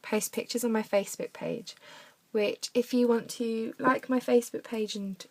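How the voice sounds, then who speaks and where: A young woman with a croaky voice from a cough, chatting through a henna craft demonstration at her desk at home. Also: a little high, steady tone, normal speed. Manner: chatty, talks while drawing, drops to soft mutters. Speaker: craft tutorial maker.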